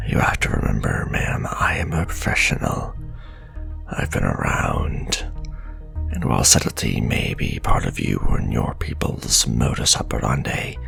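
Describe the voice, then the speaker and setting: Man playing a very deep, gravelly government agent. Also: a bit bright, exaggerated voice. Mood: accusing. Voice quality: deep, gravelly, breathy near-whisper. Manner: pompous, deliberate, accusatory. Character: stern, menacing male government agent interrogating a suspect. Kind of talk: ASMR roleplay.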